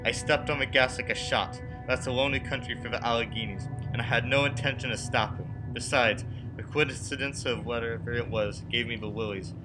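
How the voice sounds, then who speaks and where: An actor playing a haunted man in his thirties from Brooklyn, narrating a radio play in earnest, deliberate phrases. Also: mid-range voice, fairly even intonation, lightly acted, rough recording. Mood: uneasy. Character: haunted 36-year-old man from Brooklyn, anxious but insisting he is sane. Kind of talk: radio play acting, first-person dramatic narration. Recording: music behind the voice.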